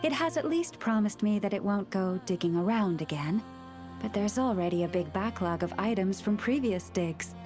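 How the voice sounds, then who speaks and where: A gentle, courteous young woman played by an actress speaks at a medium pitch in a formal, patient, soft-spoken manner. It sounds like a game cutscene about a kindly library caretaker, with faint music behind her. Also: natural voice. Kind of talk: video game cutscene acting. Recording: music under the voice.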